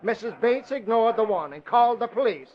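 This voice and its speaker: Excitable newsroom man, loud, urgent, punchy, high voice, dull vintage radio drama. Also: fairly quick. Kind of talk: old-time radio drama acting.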